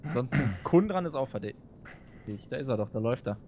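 A man in a multiplayer game thinking out loud about who the culprit is. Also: medium pitch, lively intonation, fast, slight background noise. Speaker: game co-player. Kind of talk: casual gaming voice chat.

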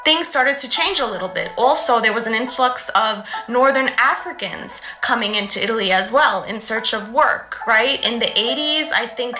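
A young woman from New City, explaining to her phone camera in a casual, animated way with conversational asides. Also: on the high side, moderate pace, few pauses, reverberant. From New York City, USA. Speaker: vlogger. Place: room at home, indoors.

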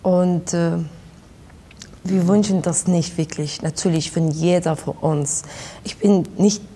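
A young woman from Iran, a guest in a studio interview, speaking haltingly and searching for her words. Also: fairly low pitch, steady tone, dark tone, slightly rough. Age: young adult. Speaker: guest, activist. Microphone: lapel.

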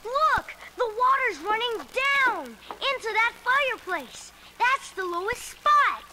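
A woman's voice: extremely high pitch, takes it slowly, very noisy, full character voice. An eager young boy leader. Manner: earnest, excitable. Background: music.

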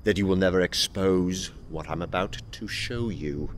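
An actor playing a proud, easily wounded anarchist poet in a radio play, intense, indignant and grandiose. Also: male voice, low voice, moderate pace, a little hiss or hum, natural voice. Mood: intense, brooding. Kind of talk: theatrical radio play acting.

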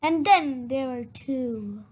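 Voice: high-pitched, slow pace, darkish tone, husky. A child storyteller reading a picture book aloud, exclaiming the sound words dramatically.

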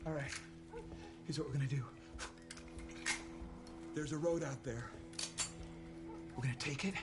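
Tense, gritty US marshal. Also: male voice, fairly high pitch, a little slow. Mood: grim, determined. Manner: gritty, urgent, swearing under his breath. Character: tense, hard-bitten male US marshal on the run. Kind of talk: cinematic video game acting. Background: game music.

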